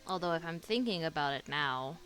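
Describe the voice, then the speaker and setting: Lowish-voiced woman reacting to a game. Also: expressive, fairly quick, low-quality recording. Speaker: streamer. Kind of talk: casual game reaction commentary. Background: soft game music.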